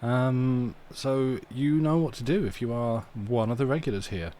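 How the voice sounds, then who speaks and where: A man's voice: medium pitch, moderate pace, dark tone. A man hosting a live stream, chatting casually to his viewers.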